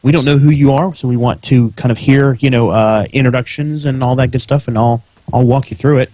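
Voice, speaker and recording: A man's voice: medium pitch, steady tone, a little fast, dark tone, dry recording, highs cut off. A middle-aged American man chatting casually as a podcast host before the show. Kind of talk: casual pre-show chat.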